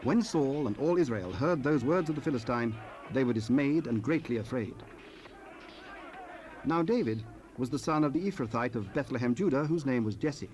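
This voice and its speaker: A man narrating scripture in a formal, solemn and measured way. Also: medium pitch, lively intonation, a little mellow. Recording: faintly noisy cassette rip.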